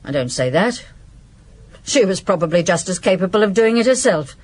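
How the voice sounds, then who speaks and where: An actress playing a blunt, guarded former servant giving evidence in a radio detective drama, dry and sardonic. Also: female voice, lowish voice, animated, normal speed, darkish tone, husky.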